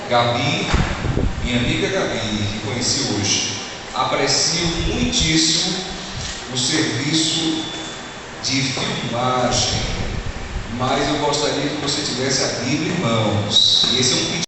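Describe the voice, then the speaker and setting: A man's voice: medium pitch, measured pace, background noise. A Brazilian man in his thirties with an Alagoas accent, preaching a sermon to his congregation in an earnest, direct way. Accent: Brazilian Portuguese, Alagoas. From Brazil, Maceió. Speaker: pastor. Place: church sanctuary. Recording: echoey church hall, far from the mic.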